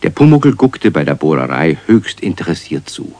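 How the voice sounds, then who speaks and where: A man narrating a children's radio story in scripted style, addressing young listeners directly with clear articulation. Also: rumbling low, very animated, moderate pace, a little mellow, no room sound.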